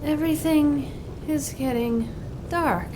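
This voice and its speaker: Dead nun, played by a woman, high slow frightened voice, faint noise behind, audio drama. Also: mellow. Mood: fading. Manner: frightened, fading. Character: dying nun raised from the dead.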